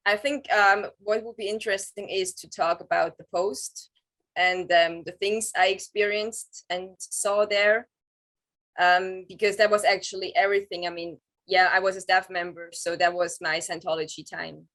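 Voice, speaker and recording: A woman's voice: mid-range voice, steady tone, a bit bright, low-quality recording. A woman in her thirties from Switzerland with a Swiss German accent, whose first language is Swiss German, speaking hesitantly in English as an interview guest. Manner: hesitant, many "um" pauses, searching for words. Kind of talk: casual interview over video call.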